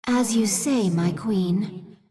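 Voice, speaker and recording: An actress playing a calm, dutiful female alien advisor giving briefings. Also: mid-range voice, slightly rough. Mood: measured. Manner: measured briefing delivery. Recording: game cinematic with music.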